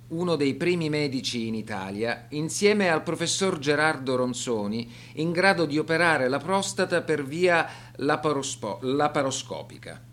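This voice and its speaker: Guy narrating a radio docudrama, formal deliberate read, voice a bit on the high side. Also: a bit bright. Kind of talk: scripted documentary radio drama narration.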